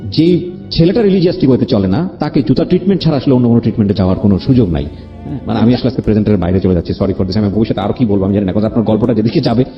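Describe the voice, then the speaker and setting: A man's voice: lowish voice, rapid-fire. A man with a Bangladeshi accent hosting an interview show, commenting in an outspoken, indignant way and mixing in English words. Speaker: radio show host. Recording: dull lo-fi audio with music behind.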